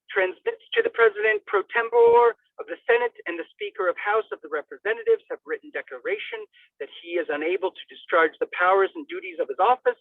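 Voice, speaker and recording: Guy doing a dramatic reading of some legal document, high voice, tinny like a telephone, kinda far from the mic. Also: no room sound. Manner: theatrical, declamatory, with sudden emphasis. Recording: thin phone-like radio sound, distant mic.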